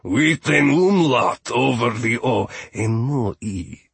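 A man doing an ancient pedantic catacomb steward, very drawn-out. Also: lively intonation, vocal fry, a bit bright, slightly rough, dry recording, heavily acted. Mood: friendly, patient. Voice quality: rumbling, avuncular grumble. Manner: pedantic, ominously polite, drawn-out.

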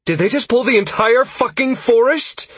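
A man voicing a sarcastic, fiery female adventurer in an audiobook, sharp and exasperated. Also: fairly high pitch, slow, slightly rough, dry recording, voice pushed up, full character voice. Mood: dismayed.

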